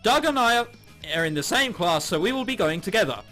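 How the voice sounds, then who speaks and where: A man's voice: high voice, expressive, fairly quick, bright, forceful tone, soft background music. A man hosting a gaming channel, reading a visual novel aloud in a theatrical, jokey way with ad-libbed commentary.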